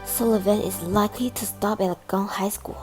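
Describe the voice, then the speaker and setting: Measured female police detective, acted by a woman, over music. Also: mid-range voice, fairly even intonation, lightly acted. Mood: composed, serious. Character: composed senior female police detective leading an investigation. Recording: music behind the voice.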